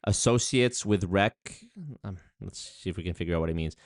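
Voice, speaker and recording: A man's voice: low voice, a little fast, dry recording, studio quality. A man giving casual live-stream commentary on a video, wry and chatty, with restarts and asides.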